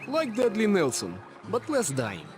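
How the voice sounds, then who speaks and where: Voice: mid-range voice, wildly swooping pitch, a little slow. An actor voicing a blunt, menacing young wrestler in a cartoon video game, with a Russian accent and clipped broken English. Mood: deadpan menace. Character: blunt menacing foreign boy wrestler at summer camp. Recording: loud game music behind.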